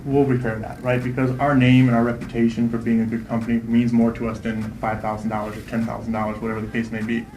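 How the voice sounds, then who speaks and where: A man in his thirties, a real estate investor, answering interview questions quickly and confidently, often tagging "right?" onto his points. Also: mid-range voice, level tone, darkish tone, echoey room, a little hiss or hum, low-quality recording. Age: 30s. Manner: fast, confident, repeats "right?".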